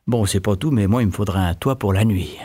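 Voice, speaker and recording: A man's voice: lowish voice, a little fast, dark tone, husky, no room sound. A naive young man on the road, footsore and hopeful, talking in a plain, wistful way, as a male storyteller voices him. His voice stays close to everyday speech.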